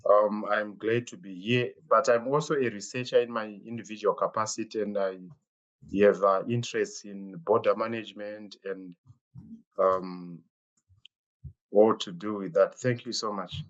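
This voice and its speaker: A man's voice: on the low side, steady tone. A man, an organizational development officer, introducing himself politely and evenly on a video call. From Zimbabwe. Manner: polite, measured. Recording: muffled video-call audio.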